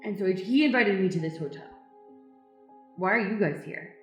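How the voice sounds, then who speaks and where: A woman's voice: mid-range voice, normal speed, natural voice. A woman voicing a flaky, earth-loving would-be magician hero with earnest, rambling bravado in an improvised radio comedy. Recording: roomy echo with music underneath.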